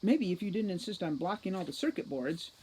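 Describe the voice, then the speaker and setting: A woman's voice: fairly low pitch, expressive, fairly quick, mellow, a little hiss or hum, close to an everyday voice, rough recording. A woman voicing a wry male centaur tech expert from a storybook, clipped, matter-of-fact and dryly sarcastic. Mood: dry sarcasm.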